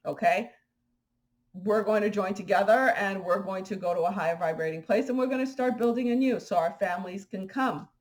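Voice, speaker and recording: A woman from Haiti talking casually to her viewers in a vlog, animated and indignant, with fillers and restarts. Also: mid-range voice. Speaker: spiritual vlogger. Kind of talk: casual spoken commentary to viewers.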